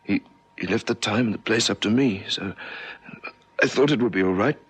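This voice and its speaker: An actor playing a shaken, apologetic young newspaper reporter in a radio drama, speaking haltingly with stammered repeats. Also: male voice, on the low side, husky. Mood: hesitant, earnest. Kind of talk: 1970s radio drama acting.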